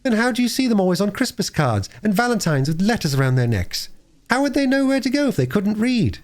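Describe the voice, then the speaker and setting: A man voicing a stubborn, excitable young girl in a storybook reading, quick to argue. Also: high voice, voice pushed up. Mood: argumentative.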